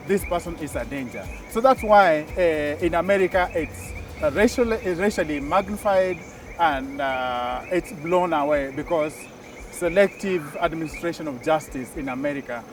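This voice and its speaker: Man co-host, higher voice, distant. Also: a little hiss or hum. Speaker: show co-host. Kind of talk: studio discussion. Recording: voice distant from the mic. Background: music.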